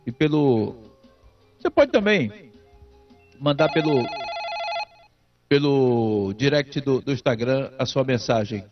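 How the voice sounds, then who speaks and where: A man hosting a sports call-in radio show, energetic and teasing, repeating his phrases. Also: medium pitch, slow, long pauses. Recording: muffled lo-fi radio sound. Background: faint music.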